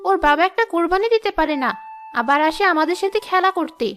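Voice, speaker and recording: A woman's voice: very high voice, quick pace, fairly bright tone, faint music behind. A woman voicing a snobbish, spiteful young girl in a cartoon moral story, with a haughty, mocking manner. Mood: scornful, taunting.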